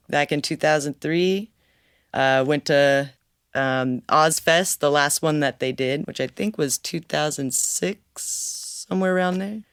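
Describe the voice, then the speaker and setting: A musician guest with a slightly raspy voice, chatting easily and laughing often in an interview. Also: mid-range voice, dry recording, clean studio sound. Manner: easygoing, laughs often, talks freely. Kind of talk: casual interview chat.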